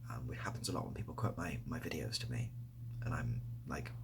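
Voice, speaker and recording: A man chatting casually and softly, often nearly whispering, in a rambling, self-deprecating way as he answers questions. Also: very deep voice, a little fast, background noise. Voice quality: soft, breathy, often near whisper. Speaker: ASMR video creator. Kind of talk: softly spoken casual Q&A chat. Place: indoors next to a window.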